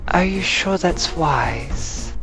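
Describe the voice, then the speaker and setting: An actor playing a calm, cautious fighter wingmate in an audio drama, speaking in a measured, reassuring way. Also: male voice, a little high, heavily acted. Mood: calm, advising. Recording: music behind.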